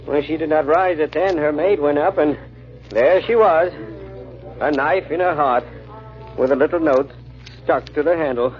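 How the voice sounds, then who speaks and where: Fretful French innkeeper, played by an actor, muffled recording. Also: male voice, a little high, moderate pace, long pauses, soft background music, close to an everyday voice. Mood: lamenting. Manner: hesitant, peppers talk with French courtesies. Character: fretful, gossipy French innkeeper, polite and self-pitying. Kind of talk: 1940s radio drama acting. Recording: muffled old radio broadcast recording.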